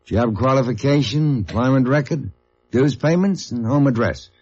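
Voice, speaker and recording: Actor as businesslike American union agent. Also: male voice, mid-range voice, moderate pace, a little mellow, breathy edge, natural voice. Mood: matter-of-fact. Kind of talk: 1950s radio drama acting. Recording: muffled old radio recording.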